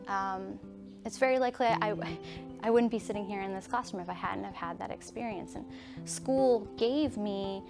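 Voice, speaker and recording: A woman high school teacher speaking earnestly and reflectively in a documentary interview. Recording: music bed under voice.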